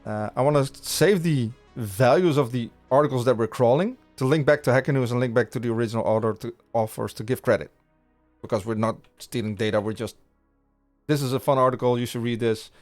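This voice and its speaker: A man's voice: mid-range voice, a little fast, darkish tone, soft background music. A man from the Netherlands whose first language is Dutch, hosting a casual live coding stream and talking through his plans with viewers, with frequent restarts and fillers. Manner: rambling, many restarts, chatting with viewers. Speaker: livestream coding host. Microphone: studio podcast mic.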